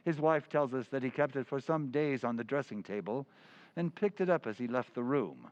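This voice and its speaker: An actor playing an earnest, deferential police inspector in an old-time radio drama, methodical, formal and a little hesitant. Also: male voice, mid-range voice, expressive, moderate pace, darkish tone, highs cut off, lightly acted. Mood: matter-of-fact.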